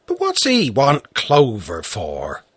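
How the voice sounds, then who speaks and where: A man's voice: mid-range voice, huge pitch swings, slow pace, a bit bright, dry recording, heavily acted. A man voicing a worn-out peasant farmer who stammers and mumbles in plain rustic speech. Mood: bewildered.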